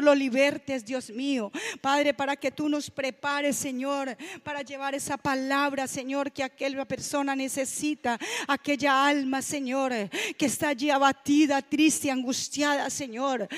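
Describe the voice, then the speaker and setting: A woman leading her church in fervent spoken prayer, constantly repeating invocations between short phrases. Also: sits high.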